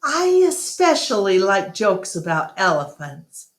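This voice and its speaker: Woman voicing an eager, high-pitched little girl elephant. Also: very animated, slow, echoey room. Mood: eager, curious. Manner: eager, questioning. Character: young female elephant, chatty, eager, innocent child.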